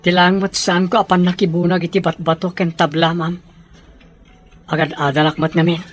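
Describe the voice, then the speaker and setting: Man acting an anxious young son, high-pitched. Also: level tone, normal speed, faint music behind, lightly acted. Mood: anxious, pleading. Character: anxious, dutiful young son devoted to his mother. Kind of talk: Ilocano audio drama acting.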